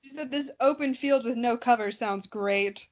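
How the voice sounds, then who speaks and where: A woman's voice: on the high side, normal speed, highs cut off. A woman podcast co-host making casual, teasing, sarcastic comments.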